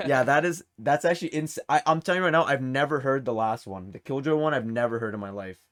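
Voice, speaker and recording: A man's voice: mid-range voice, fast, a bit bright. A man hosting a gaming quiz in a casual, chatty, encouraging way. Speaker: quiz show host.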